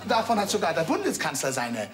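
A man's voice: fairly high pitch, brisk. A man playing a cheeky, chatty waiter who sprinkles in Italian phrases in a TV comedy sketch. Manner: lively sing-song delivery, Italian phrases. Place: restaurant studio set. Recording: music and studio audience laughter.

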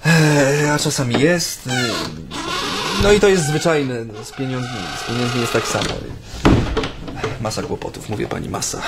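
An actor playing a chatty, nervous Japanese man steeped in Polish ways, speaking haltingly in naturalistic radio drama dialogue. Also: medium pitch, natural voice. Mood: chatty, hesitant. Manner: hesitant, halting, with many hems and repetitions.

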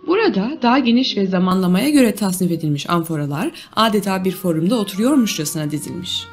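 A woman's voice: mid-range voice, expressive, fast, faint music behind. A woman reading a scripted museum tour narration as a documentary guide.

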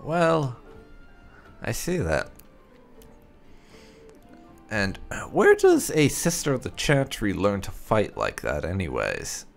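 Male gaming host, low and very animated, unhurried theatrical delivery. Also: vocal fry. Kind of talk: roleplay playthrough commentary, reading out character replies.

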